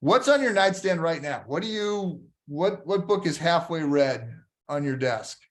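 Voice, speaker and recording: A man's voice: on the high side, expressive, a little slow. A man in his sixties hosting an interview, telling anecdotes and asking layered follow-up questions in a casual chat. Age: 60s. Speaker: interview host.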